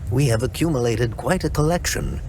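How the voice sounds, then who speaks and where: An actor playing an old, courteous caretaker of relics in a secret order, speaking in an unhurried, formal, matter-of-fact way. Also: male voice, mid-range voice, animated, gravelly, darkish tone, a little hiss or hum. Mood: calm, welcoming. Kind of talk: video game character dialogue.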